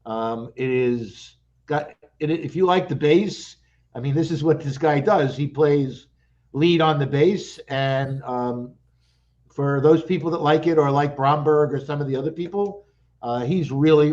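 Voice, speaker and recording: A male panelist on an audio roundtable, speaking hesitantly and haltingly as he gives his opinion. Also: mid-range voice, darkish tone, lo-fi sound. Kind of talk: casual roundtable chat.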